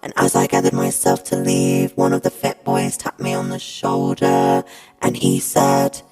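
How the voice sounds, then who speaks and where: A woman with a gravelly voice telling a first-person anecdote. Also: unhurried, over loud music. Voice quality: gravelly, creaky.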